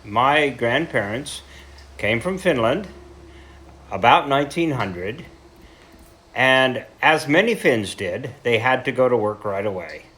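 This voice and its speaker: A man in his seventies with an American accent, speaking informally as a heritage lecturer, telling his story reflectively with pauses and self-corrections. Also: mid-range voice, expressive, fairly bright tone. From central Idaho, United States. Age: 70s. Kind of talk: informal talk to a local group.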